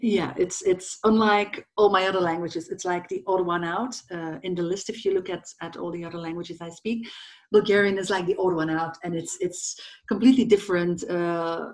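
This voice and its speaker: A woman's voice: on the low side, normal speed. Flemish woman guest, thoughtful and hesitant. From Belgium, Flanders. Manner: thoughtful, many fillers, light self-deprecating laughs. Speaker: guest, translator. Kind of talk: casual interview chat.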